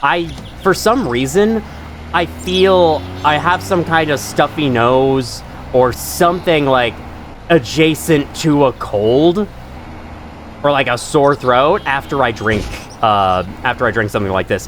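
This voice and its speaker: A young man with a stuffy nose, rambling and swearing casually through relaxed gameplay commentary. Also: medium pitch, unhurried, slight background noise. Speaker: gaming channel host. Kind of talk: casual let's-play commentary.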